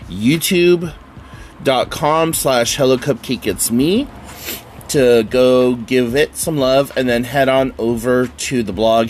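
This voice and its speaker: A man's voice: medium pitch, slight background noise. A man hosting his own podcast in a casual, rambling solo chat, sounding nasal and stuffy from a summer cold. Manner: rambling, chatty, with frequent fillers and casual swearing. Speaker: podcast host.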